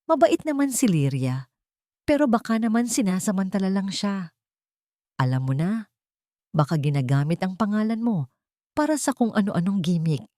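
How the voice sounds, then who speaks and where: Lady reader doing sly scheming woman for audiobook drama, fake worried, insinuating, mellow tone, dry sound. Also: mid-range voice, sing-song, moderate pace, long pauses, dry recording, voice pushed up, lightly acted. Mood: sly, suspicious. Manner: insinuating, falsely concerned. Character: Maribel, scheming woman feigning sweet concern, insinuating.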